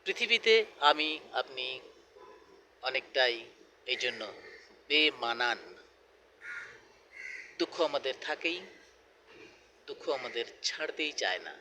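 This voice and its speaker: A man reciting a poem in a dramatic style, deliberate and emphatic, pausing between short phrases. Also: mid-range voice, expressive, noisy background. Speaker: poetry reciter.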